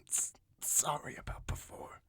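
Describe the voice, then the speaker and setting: A man voicing an affectionate young boyfriend in a fantasy audiobook, hushed and halting. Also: no echo, whispered, studio quality, lightly acted. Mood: tender. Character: tender young male boyfriend, apologetic, affectionate.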